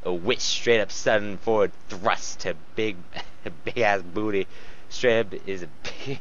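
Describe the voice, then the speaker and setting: A man's voice: on the low side, measured pace, fairly bright tone, highs cut off, a little hiss or hum. A man reading fan fiction aloud, giggly and often cracking up between joking asides. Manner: giggly, often breaking into laughter while reading. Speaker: fan fiction co-reader and commentator.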